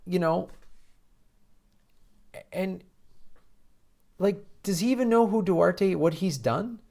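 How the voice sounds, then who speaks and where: A man's voice: high-pitched, creaky, darkish tone. An American man giving casual political commentary in an incredulous, mocking manner, often breaking off and restarting his sentences. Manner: halting, with repeated false starts. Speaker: host of a political commentary show.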